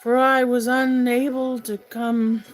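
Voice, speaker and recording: A woman voicing a boisterous, teasing drunken man in a classical storybook dialogue, loud and declamatory. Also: a little high, little pitch movement, slow pace, soft background music, voice pushed up, poor audio. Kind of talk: audiobook character voice in classical dialogue.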